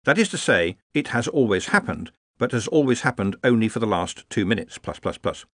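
A man's voice: fairly low pitch, big pitch swings, fairly quick, no room sound, studio quality. A man reading as a prim, literal thinking machine. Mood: flat, precise.

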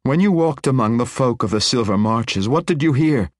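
A man voicing an imperious female dark elf matriarch, sharp and demanding. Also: medium pitch, lively intonation, normal speed, no echo, clean studio sound, pitched above the performer's own voice, lightly acted. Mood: commanding. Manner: sharp, demanding, raised intensity.

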